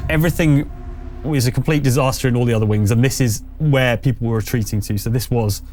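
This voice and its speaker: A man's voice: mid-range voice, quick pace, a little mellow, a little hiss or hum. A man running a tabletop roleplaying game, narrating and explaining in a conversational, slightly hesitant way. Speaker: game master.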